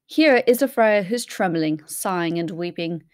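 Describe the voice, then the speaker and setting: A woman's voice: on the low side, lively intonation, measured pace, darkish tone, dry recording, studio quality. A woman playing a dutiful watchman making a report in an audio drama. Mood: suspicious. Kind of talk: audio drama acting of modernized Shakespeare.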